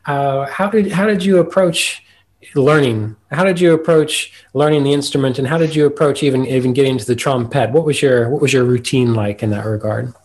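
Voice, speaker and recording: Guy hosting a podcast, mid pitched voice, chatty interview style. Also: a little fast, a little mellow. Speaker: podcast host. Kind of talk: conversational interview.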